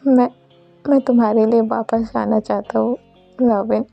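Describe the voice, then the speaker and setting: Storytelling role: anguished male ghost lover played by a woman, protective, emotional, heavily creaky, faint music. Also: mid-range voice, flat delivery, unhurried, dry recording, muffled recording, exaggerated voice. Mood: sorrowful pleading. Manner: pleading, repetitive, emotional.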